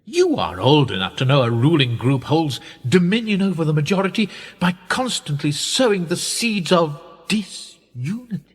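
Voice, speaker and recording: A British man in a radio play acting a wealthy, upper-class power broker who is smug, condescending and manipulative, speaking in a measured, pompous way. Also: a little high, sing-song, breathy edge. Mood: coolly condescending. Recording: reverberant hall-like echo.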